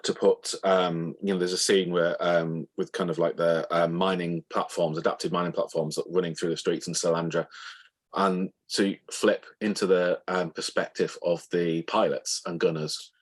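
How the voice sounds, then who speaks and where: Male author guest, low husky register, halting and thoughtful explanations, conversational interview delivery. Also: flat delivery.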